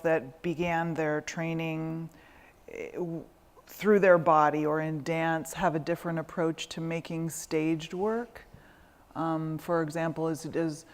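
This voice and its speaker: Low-voiced woman interviewer in a hall. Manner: thoughtful, halting questions. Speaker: curator and interviewer. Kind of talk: interview questions. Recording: reverberant hall.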